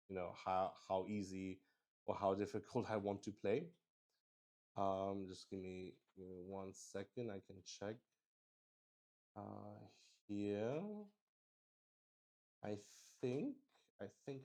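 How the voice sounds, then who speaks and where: A man casually improvising play-by-play of a solo tabletop game as its host, haltingly thinking aloud and talking to himself. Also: lowish voice, mellow.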